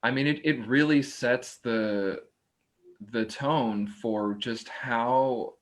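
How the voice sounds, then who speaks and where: A man talking casually as an actor on a discussion panel, searching haltingly for words. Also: mid-range voice. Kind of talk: casual post-show talk.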